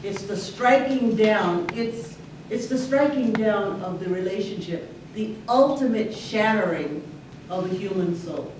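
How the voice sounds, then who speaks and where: A woman's voice: mid-range voice, expressive, measured pace. A woman clinical therapist speaks earnestly to a campus audience, leaning on key words and sometimes restarting a sentence. She sits far from the microphone in a strongly echoing room, with a faint hiss underneath. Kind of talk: breakout session talk. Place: university lecture room.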